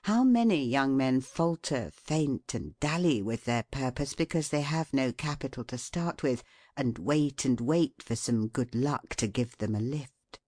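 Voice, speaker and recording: An audiobook narrator reading scripted prose in a measured, formal and deliberate way. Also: mid-range voice, lively intonation, a little mellow, no echo.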